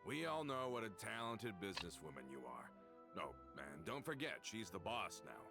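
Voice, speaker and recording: A deep-voiced hearty gang member. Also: male voice, big pitch swings, normal speed, creaky, music in the background. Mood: friendly.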